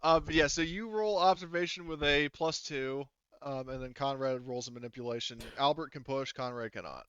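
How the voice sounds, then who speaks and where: A man's voice: medium pitch, normal speed, few pauses, fairly bright tone, smooth, no room sound, lo-fi sound. A man running a tabletop roleplaying game as game master, casually explaining rolls and rules with some swearing.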